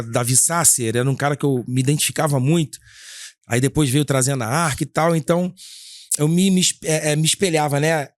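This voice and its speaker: A man with a Brazilian Portuguese accent, a gospel singer and worship leader telling his story in a casual podcast interview, with a husky timbre and earnest, halting delivery full of restarts. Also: mid-range voice, dry recording, clean studio sound. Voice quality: husky, gravelly singing timbre.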